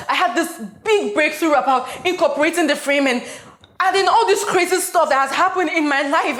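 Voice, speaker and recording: A woman performing a dramatic comedic monologue as a frazzled young wife and mother who rambles and interrupts herself in a meltdown. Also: high-pitched. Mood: hysterical disbelief. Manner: rambling, flustered, self-interrupting. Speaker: actor performing an audition monologue.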